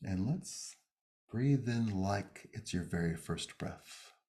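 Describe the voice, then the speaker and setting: Guy with a deep voice doing a guided meditation, gentle, takes his time, lots of pauses. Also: lively intonation. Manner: gentle, unhurried, pausing between short phrases.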